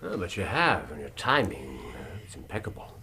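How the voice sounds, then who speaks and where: A self-assured British sleuth in a radio drama. The voice sits low but ranges widely, theatrical and dryly amused, with exact phrasing. There is faint ambient noise behind him. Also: male voice, big pitch swings, normal speed, sharp tone, full character voice. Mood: absent-minded, amused. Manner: precise, urbane, theatrical, faintly superior.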